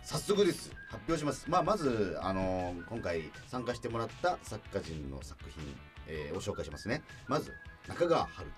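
Male radio DJ, low-pitched and expressive, mixing announcements chat, clear music bed. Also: normal speed. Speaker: radio show host, actor. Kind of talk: scripted radio hosting with casual chat. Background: background music.

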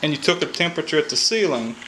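A man's voice: on the high side, animated, quick pace, bright, forceful tone, slight background noise, poor audio. A man in his thirties with a Kentucky accent, explaining heating and air repair in a folksy, think-aloud way, filmed on a camera mic. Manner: folksy, thinks aloud, frequent "all right". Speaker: HVAC technician. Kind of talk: casual on-the-job explanation. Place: outdoors by an AC unit, then inside a house.

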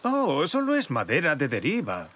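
A man's voice: a little high, huge pitch swings, normal speed, a bit bright, heavily acted. A man voicing a friendly, patient grown-up zebra neighbour in a children's cartoon, explaining things in a Castilian Spanish accent. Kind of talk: preschool cartoon dubbing.

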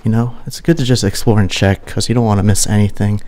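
A young man hosting an exploring vlog, talking casually and excitedly to viewers on a handheld camera mic inside a large empty abandoned mansion. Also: on the low side, fast. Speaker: urban explorer host.

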